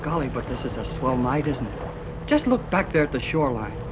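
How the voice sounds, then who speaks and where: An actor playing an excited young radio enthusiast in a 1930s radio serial, full of gee-whiz slang, from an old recording. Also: male voice, medium pitch, fairly quick, muffled recording, background noise, natural voice. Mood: eager. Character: eager young male radio enthusiast, wide-eyed.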